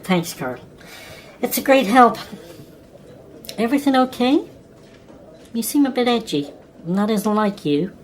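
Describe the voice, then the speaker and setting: An actress playing a friendly, considerate radio station colleague in an audio drama, casual and conversational. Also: female voice, a little high, very animated, a little slow, pauses often, slight background noise. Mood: friendly, concerned.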